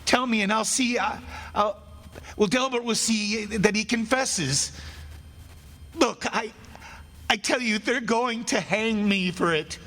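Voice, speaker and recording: A man's voice: high-pitched, takes it slowly, pauses often, bright, forceful tone, heavily acted. An actor playing a condemned man's desperate, bitter soul in an old-time radio play, agitated and stammering. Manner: agitated, stammering, repeating himself. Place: on stage in a hall. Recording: echoey stage theatre with long reverb.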